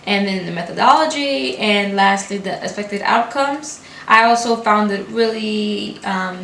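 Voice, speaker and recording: A young woman in her twenties from Brooklyn, speaking English as a second language, talking casually to camera in fast, run-on sentences with restarts. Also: mid-range voice, fairly bright tone. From Brooklyn, New York. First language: not English. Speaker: graduate student vlogger. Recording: echoey room with faint hiss.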